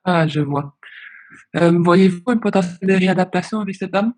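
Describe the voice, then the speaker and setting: A man. He speaks politely and haltingly, feeling his way through questions in a formal work conversation. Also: sits high. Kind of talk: professional phone conversation, questioning.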